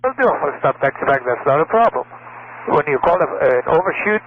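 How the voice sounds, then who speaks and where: A man's voice: a little high, animated, a little fast, crowd noise. A male flight instructor arguing over aircraft radio, insistent and defensive, repeating himself as he goes. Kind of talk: argumentative radio exchange. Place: small aircraft cockpit. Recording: narrow, crackly air traffic radio transmission.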